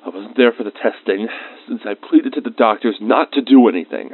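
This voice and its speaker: A man's voice: medium pitch, brisk, slightly rough, lightly acted. A man playing a research scientist dictating a confiding, emotionally involved audio diary of lab logs. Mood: shaken, nervous. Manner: confiding, dictated log entries with emotional asides. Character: anxious male research scientist recording lab logs, increasingly shaken. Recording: phone-like thin band-limited sound.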